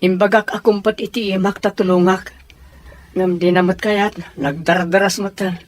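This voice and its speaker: A man's voice: sits high, steady tone, normal speed, natural voice. A man acting an urgent, helpful fellow who insistently urges his companions along in an audio drama. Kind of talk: Ilocano radio-style audio drama acting.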